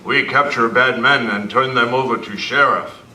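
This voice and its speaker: A man's voice: mid-range voice, normal speed, bright, forceful tone. A man playing a stoic cowboy's sidekick who talks in terse broken English, in an amateur radio script reading. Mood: terse, solemn. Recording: noisy, echoey room, distant camera mic.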